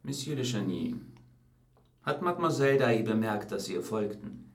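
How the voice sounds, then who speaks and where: Low-voiced, formal police questioner. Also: male voice, lively intonation, normal speed, echoey room. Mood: probing, calm. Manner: formal, probing. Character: police officer calmly questioning a witness, formal. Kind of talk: German radio drama acting.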